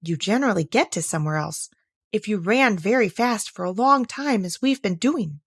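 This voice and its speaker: Woman voicing an eager, animated storybook young girl. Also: mid-range voice, moderate pace, breathy edge, no echo, studio quality. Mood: puzzled wonder. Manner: wondering, earnest, polite. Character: Alice, eager, curious, polite young girl.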